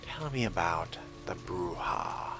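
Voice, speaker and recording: Very deep, deliberate man role-playing. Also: expressive, over music, rough recording. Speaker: player of a role-playing game, voicing his character. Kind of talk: in-character role-play dialogue.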